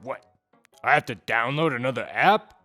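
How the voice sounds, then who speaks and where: Actor as grumbling man, sharp forceful tone, comic skit, faint music. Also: mid-range voice, lively intonation, moderate pace. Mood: grudging, grumpy. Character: grumbling, grudging man special guest, Harry.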